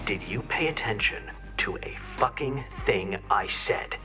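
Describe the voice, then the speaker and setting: An actor playing a forceful, accusatory male senator, exasperated and indignant, in a video game cutscene. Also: fairly low pitch, lively intonation, unhurried, over music. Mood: angry, scolding.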